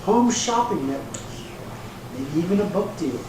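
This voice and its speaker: A man acting in a readers theater piece as a slick, smooth-talking promoter with a grandiose, placating salesman's patter. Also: sits high, expressive, a little slow. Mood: grand salesman's pitch. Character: slick, smooth-talking male promoter pitching a glamour calendar. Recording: echoey hall from far off, hissy room noise.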